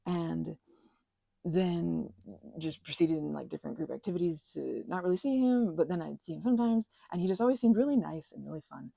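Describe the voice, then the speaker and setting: A woman hosting an ASMR channel, rambling in a chatty, giggly way with lots of fillers and a breathy voice that slips into whispers. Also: on the low side, a little fast, mellow, no room sound. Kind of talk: soft-spoken rambling chat.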